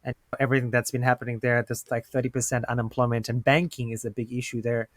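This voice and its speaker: A man's voice: medium pitch, level tone, fast talker, dry recording. Podcast host, a man from South Africa, mid-range voice, enthusiastic and conversational, dry sound. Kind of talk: podcast interview.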